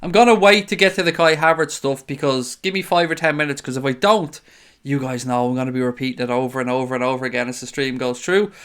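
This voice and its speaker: A man's voice: mid-range voice, steady tone, fast, fairly bright tone, clean tone. A man hosting a casual live stream, chatting in a friendly way and greeting viewers by name. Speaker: host of a fan live stream. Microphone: desk microphone.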